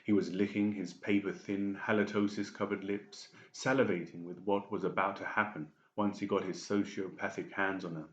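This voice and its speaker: Male storyteller for dramatised audiobook, lowish pitch, roomy and slightly muffled capture. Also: fairly even intonation, normal speed, few pauses, slight background noise. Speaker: audiobook narrator. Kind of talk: dramatic scripted narration. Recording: dull, echoey room recording.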